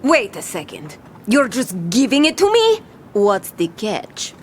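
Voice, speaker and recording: A woman's voice: fairly high pitch, huge pitch swings, a little slow, a little hiss or hum. A woman playing a bold, boastful young pirate captain in an audio drama, with theatrical, swaggering pirate talk. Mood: boastful, wary.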